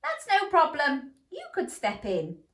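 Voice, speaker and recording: Woman plays a squeaky, eager mouse lady in a storybook, echoey room. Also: huge pitch swings, a little slow, fairly bright tone, heavily acted. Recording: roomy echo.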